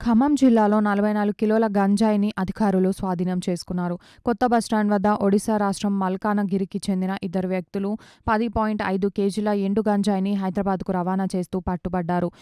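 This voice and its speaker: Smooth, mellow woman reading news. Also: medium pitch, steady tone, fast, no echo, studio quality. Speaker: news reader. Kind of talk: scripted news narration.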